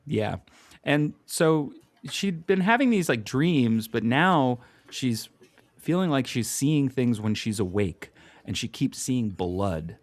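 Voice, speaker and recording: A man co-hosting a podcast, chatting casually and thinking aloud in a halting way. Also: mid-range voice, expressive, no room sound. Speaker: podcast co-host. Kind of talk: casual comic book discussion.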